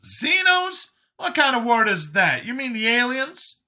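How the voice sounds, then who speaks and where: A man performs a skeptical backwoods veteran doctor in a story. He pitches well up and sounds sharp and bright, with a sardonic, incredulous, questioning manner. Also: wildly swooping pitch, unhurried, heavily acted.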